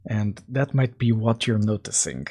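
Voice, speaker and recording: A man hosting a game-dev livestream, hoarse and coughing while recovering, talking through his code casually with many fillers, close to the mic at night. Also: expressive, darkish tone. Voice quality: husky, deeper than usual. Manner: slow, thinking aloud with fillers. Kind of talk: casual coding livestream chat. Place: home room, at night. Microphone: close mic right in front.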